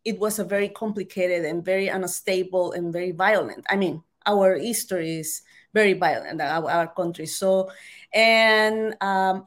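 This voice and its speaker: Casting: Salvadoran-accented woman, Spanish native, hesitant interview guest, mid pitch. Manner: halting, many restarts and fillers. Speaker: author and journalist guest.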